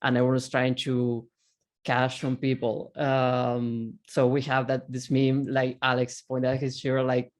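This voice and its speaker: A man from Argentina speaks English with an Argentine Spanish accent, his first language being Spanish. He talks as a panelist in a loose, unscripted way, pausing on fillers and restarting his sentences as he searches for words. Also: medium pitch, completely flat delivery. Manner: halting, many fillers and restarts. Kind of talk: casual panel talk.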